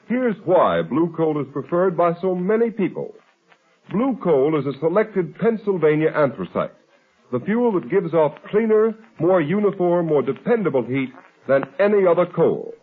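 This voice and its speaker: Old timey 1930s radio ad guy, formal salesy, animated delivery, bit husky, tinny sound. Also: a little high. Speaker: commercial announcer. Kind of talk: 1930s radio advertising read. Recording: old 1930s radio broadcast, narrow band.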